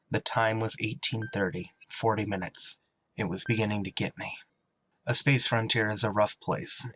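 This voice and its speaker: A man playing a blunt, solemn spaceship pilot who also narrates a radio drama, deliberate and terse, with buried feeling. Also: on the low side, a bit bright, a little hiss or hum, low-quality recording. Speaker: actor and first-person narrator.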